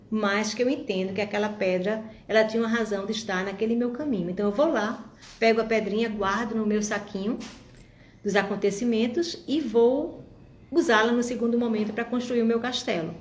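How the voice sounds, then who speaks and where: A woman's voice: mid-range voice, a little hiss or hum. Woman, 50s, upbeat reflective vlogger speaking to camera in an echoey room. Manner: warm, encouraging, reflective, addresses viewers directly. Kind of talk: motivational monologue to viewers.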